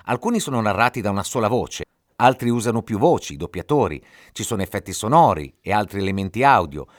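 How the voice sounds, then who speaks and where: A man's voice: fairly low pitch, expressive, fast talker, no room sound, studio quality. A man hosting a podcast, giving scripted explanatory narration in a didactic way, speaking directly to the listener. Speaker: podcast host.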